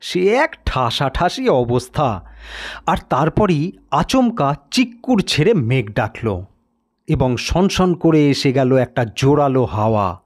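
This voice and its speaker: A man's voice: mid-range voice, very animated, slow. A man narrating a scripted story in a dramatic storytelling style.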